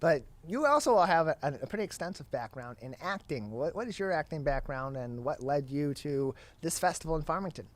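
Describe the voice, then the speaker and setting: Man, TV talk show host, slightly high pitch, interview style. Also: sing-song, fairly quick, few pauses.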